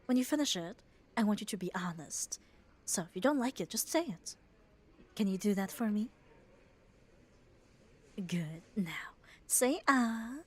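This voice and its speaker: A woman playing a muscular, devoted, gently dominant yandere girlfriend in an ASMR roleplay, speaking tenderly and soothingly and often dropping to a whisper. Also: mid-range voice, animated, a little slow, pauses often, breathy edge. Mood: playful, doting. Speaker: ASMR voice performer. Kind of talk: ASMR roleplay, soft intimate acting.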